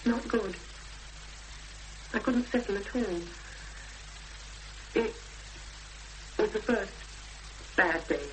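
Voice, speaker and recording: A woman playing an anxious but determined young woman in a 1970s radio drama, speaking hesitantly with thoughtful pauses. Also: medium pitch, a bit bright. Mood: troubled, recounting. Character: anxious, determined young woman seeking her missing sister. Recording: noisy, hissy 1970s radio broadcast recording.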